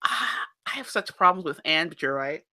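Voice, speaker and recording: A woman with a bright, sharp-edged tone and a somewhat nasal quality, talking in a lively, animated way in casual chat. She sits at an ordinary middle pitch. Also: normal speed. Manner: animated, laughs often. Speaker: podcast co-host. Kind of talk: casual chat about audiobooks.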